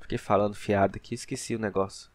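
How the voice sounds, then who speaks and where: A man's voice: fairly low pitch, flat delivery, fast. A young man with a Brazilian accent casually commenting on a game with joking, slangy asides. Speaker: gaming channel host. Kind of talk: casual let's play commentary. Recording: noisy, game sounds behind.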